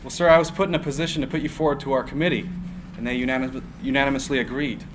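A man's voice: medium pitch, steady tone, rapid-fire, background noise, lightly acted. A man reading the part of an earnest young technical writer, polite but pressing.

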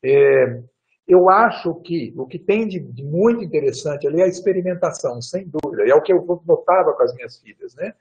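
A man's voice: a little high, animated, fairly quick, lo-fi sound. Older man musing on a video call. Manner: rambling, frequent "né?" tags, restarts. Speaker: guest lecturer. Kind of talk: relaxed lecture answering questions. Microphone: video call webcam.

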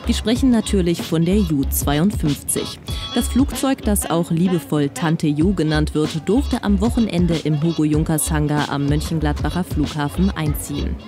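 A woman narrating a local television news report in a clear, even scripted voice. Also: low voice, normal speed. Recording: voice-over with music underneath.

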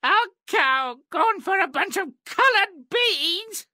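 A woman's voice: extremely high pitch, lively intonation, slow, sharp tone, no room sound, studio quality, exaggerated voice. A woman voicing a poor, anxious mother in a fairy-tale video game, melodramatic and fretful. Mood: scolding, despairing. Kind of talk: video game character acting.

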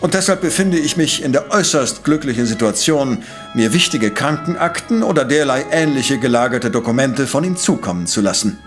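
An actor playing a shrewd, self-assured professor who investigates crimes in a German audio drama, with formal, elaborate phrasing. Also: male voice, medium pitch, expressive, fairly quick, faint music behind, lightly acted. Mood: probing. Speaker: detective professor.

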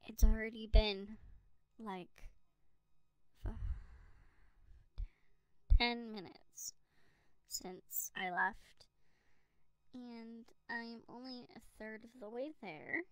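Slow-talking devoted young girlfriend, acted by a woman. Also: a little high, fairly even intonation, clean tone, no room sound. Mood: dismayed, self-pitying. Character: devoted young girlfriend, eager then self-pitying in rain. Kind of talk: slow ASMR roleplay monologue. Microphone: close USB condenser microphone.

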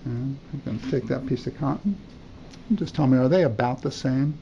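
An older male doctor runs a clinical exam in short, encouraging commands. His voice is mellow and creaky, picked up a little dull and noisy in a hospital room. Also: medium pitch, normal speed. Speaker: doctor examining a patient.

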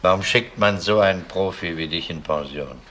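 Police boss in a crime radio drama, fairly low voice, matter of fact, bit of noise behind. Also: male voice, normal speed, a bit bright. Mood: probing. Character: seasoned male homicide detective in charge, matter-of-fact. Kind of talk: German crime radio play acting.

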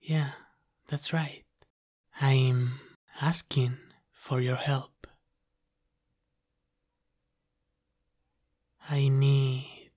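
A man voicing a flirty, dominant demon from the underworld in an intimate ASMR roleplay, soft, breathy and often half-whispered. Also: mid-range voice. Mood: teasing. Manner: slow, teasing, dominant.

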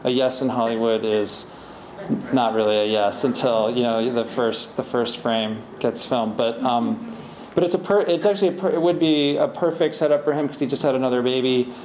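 A man's voice: mid-range voice, a little mellow. Middle-aged man answering questions, roomy hall. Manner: rambling, many restarts and fillers. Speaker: author at a library talk. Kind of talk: audience Q&A, conversational. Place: library event room, indoors. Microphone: podium microphone, far camera. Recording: roomy hall echo, faint hiss.

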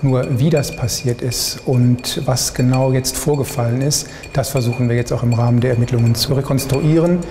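A man's voice: mid-range voice, fairly even intonation, moderate pace, few pauses, warm tone. A middle-aged man giving a formal, guarded press statement as a prosecutor's spokesman in a large indoor hall. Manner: terse, guarded. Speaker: public prosecutor's office spokesman. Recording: long hall reverb, faint noise.

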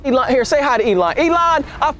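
A man's voice: very high-pitched, fast talker, soft background music. A man in his forties from Ghana, teasing and mocking a driver as a bounty hunter host, recorded outdoors in a parking lot on a lapel mic. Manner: playful, mocking, loud and animated. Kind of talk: teasing street confrontation. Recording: outdoor traffic noise.

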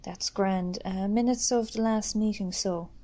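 A woman's voice: mid-range voice, steady tone, measured pace, mellow, lightly acted. An Irish woman playing a mild committee chairwoman in an amateur radio drama. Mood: businesslike. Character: mild committee chairwoman who keeps meetings short.

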